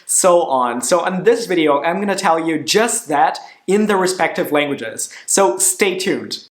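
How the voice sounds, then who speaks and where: A man's voice: fairly high pitch, lively intonation, slow pace, reverberant. A young man from southern Brazil, chatting upbeat to camera in a vlog about how he learned languages. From Curitiba, southern Brazil. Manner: chatty, upbeat, switches languages between sections. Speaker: language vlogger. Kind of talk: casual direct-to-camera vlog.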